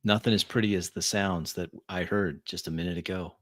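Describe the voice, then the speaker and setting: Man playing a smooth-talking biker, close and dry. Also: deep voice, no room sound, lightly acted. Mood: smooth flattery. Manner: halting, flattering, persuasive. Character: smooth, coaxing male biker courting a singer.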